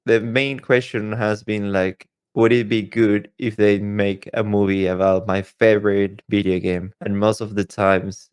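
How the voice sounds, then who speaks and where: Male podcast co-host, lowish voice, dry recording, casual delivery. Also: level tone, measured pace, few pauses, clean tone, no room sound. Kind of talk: casual chat about games.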